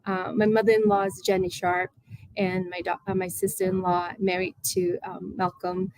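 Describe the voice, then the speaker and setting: A woman's voice: lowish voice, little pitch movement, moderate pace, a little mellow, poor audio. Hesitant Malaysian-born woman on a call. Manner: hesitant, many fillers and restarts. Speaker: guest panelist, art professor. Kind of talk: online panel presentation.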